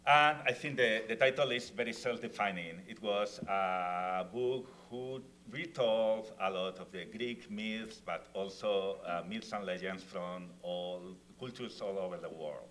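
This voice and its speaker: A man from Spain with a Spanish accent, speaking as a panelist to students, halting and full of fillers and restarts. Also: on the low side, a bit bright. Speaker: festival panelist, comics author. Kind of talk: panel talk on stage. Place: university hall, in front of students. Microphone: lavalier clip mic.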